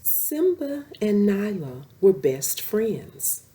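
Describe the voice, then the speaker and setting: A woman's voice: mid-range voice, very animated, drawn-out words, noisy background. A woman hosting a story time, reading aloud expressively for young children.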